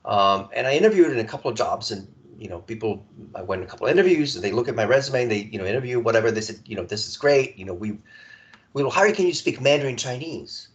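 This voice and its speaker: A man in his forties from Serbia, a podcast guest telling his story in a relaxed conversational way, stumbling and restarting often. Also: mid-range voice. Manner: halting, many restarts and repeated words.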